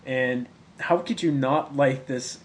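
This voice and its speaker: A man's voice: medium pitch, takes it slowly, slight background noise. A man hosting a podcast, teasing in casual banter and laughing often. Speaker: podcast host.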